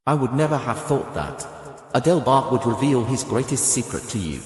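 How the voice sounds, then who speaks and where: A man's voice: mid-range voice, very animated, normal speed, noisy background, lightly acted. A man playing a gallant, centuries-old count in an audio drama, formal and devoted, with echoey reverb. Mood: courtly, devoted. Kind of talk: scripted audio drama dialogue.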